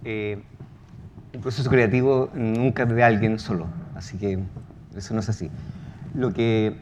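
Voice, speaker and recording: A man's voice: lowish voice, steady tone, a little slow, a little mellow. A man speaking informally at a talk as a creator of audio fiction. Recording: dull, lo-fi with clear background noise.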